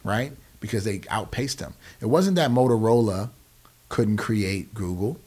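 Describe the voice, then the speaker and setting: A man's voice: on the low side, a little slow, darkish tone, husky. An American man in his forties explaining things conversationally as an interview guest, emphatically and often checking that the listener follows. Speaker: podcast guest, nonprofit founder.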